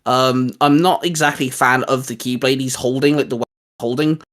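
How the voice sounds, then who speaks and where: A man's voice: mid-range voice, steady tone, fairly quick, fairly bright tone. A man co-hosting a casual, geeky chat, talking in a rambling, enthusiastic and hesitant way. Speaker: host. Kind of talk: casual geeky chat rating video game forms.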